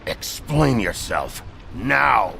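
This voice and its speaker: An actor playing a gruff, suspicious investigator in a video game, terse and demanding, with a gravelly voice. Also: male voice, lowish voice, sing-song, extremely slow, pushed and bright, a little hiss or hum, full character voice. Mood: angry.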